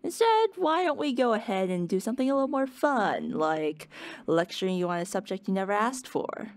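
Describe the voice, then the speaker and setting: A woman's voice: medium pitch, big pitch swings, fairly quick, dry recording, close to an everyday voice. A woman playing a wry, playful professor who delivers a scripted lecture in a conversational, plain-explaining way. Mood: playful, teasing. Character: playful, wry professor giving an unasked-for office-hours lecture. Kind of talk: scripted educational lecture in character.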